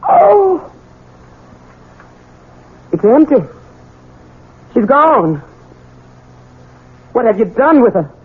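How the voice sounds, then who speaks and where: A high, husky-voiced servant woman in a 1970s radio drama. She is bitter and anxious, deferring to her master while reproaching him. The broadcast is muffled and narrow. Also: very animated, extremely slow, pauses often, exaggerated voice. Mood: frightened. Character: bitter, frightened older female household servant.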